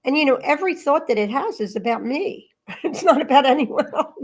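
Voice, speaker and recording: A woman's voice: high voice, animated, brisk, darkish tone. A woman hosting a spiritual study gathering, reading aloud and talking informally with the group. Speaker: host reading aloud and leading group discussion.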